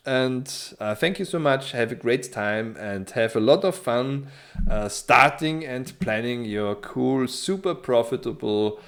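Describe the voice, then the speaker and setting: A man's voice: medium pitch, animated, unhurried. Hesitant Austrian man walking through a tutorial. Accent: Austrian German. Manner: hesitant, many ums and restarts, friendly. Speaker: tutorial presenter. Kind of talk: screen-share software tutorial.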